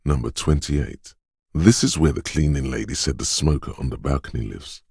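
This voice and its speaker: A detective's inner voice narrating a video game, super low and husky, deliberate, alert, wry little asides. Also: male voice, a little mellow, no echo, studio quality, exaggerated voice. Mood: measured, ominous. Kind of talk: scripted game narration, second-person inner voice.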